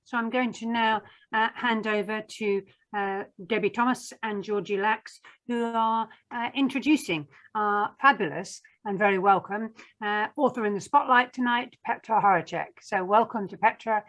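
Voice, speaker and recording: A woman's voice: mid-range voice, normal speed, a bit bright, low-quality recording. Upbeat woman host. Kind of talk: online event talk. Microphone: video call.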